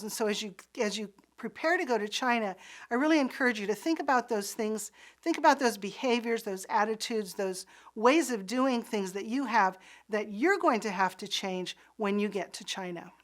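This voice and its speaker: A middle-aged woman raised in Pakistan delivers a recorded lecture. Her voice sits at an ordinary middle pitch. She explains in an earnest, steady way and sometimes restarts a phrase.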